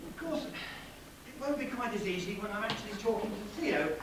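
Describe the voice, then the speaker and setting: A man's voice: high-pitched, animated, fairly quick. Echoey, urbane scheming lover played by a man. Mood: conspiratorial. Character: scheming, theatrical male lover plotting a murder. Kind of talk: theatrical dialogue in a crime drama. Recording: echoey, distant, noisy stage-like recording.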